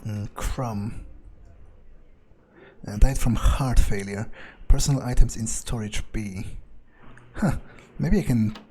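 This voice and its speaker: A wary vampire played by a man mutters his thoughts in a video game roleplay. He speaks slowly and haltingly, musing to himself in a relaxed, mellow tone, with faint noise and game ambience behind him. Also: fairly low pitch. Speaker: gameplay streamer playing a character. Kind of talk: in-character roleplay commentary, thinking aloud.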